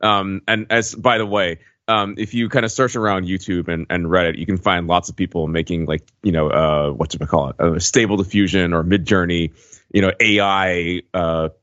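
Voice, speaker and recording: Deep-voiced man, slightly dull dry audio. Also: steady tone, normal speed, a bit bright, dry recording. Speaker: podcast co-host. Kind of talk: casual film discussion. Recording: dull, muffled podcast audio.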